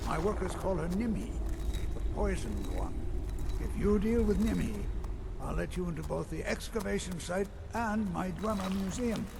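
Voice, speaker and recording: An actor playing a haughty older court wizard in a fantasy game, speaking in a dry, condescending way. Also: male voice, high voice, creaky. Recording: steady background noise.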